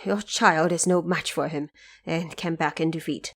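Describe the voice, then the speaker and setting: A woman's voice: deep voice, steady tone, moderate pace, voice pushed down. A woman playing a defeated, dutiful young warrior prince who speaks formally and earnestly. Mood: weary, awed.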